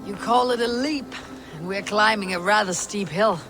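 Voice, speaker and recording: An actress playing a proud, blunt Viking warrior leader in a video game, dry, wry and commanding. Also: female voice, medium pitch, normal speed, music in the background, lightly acted. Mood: wry, sceptical. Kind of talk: dramatic video game acting.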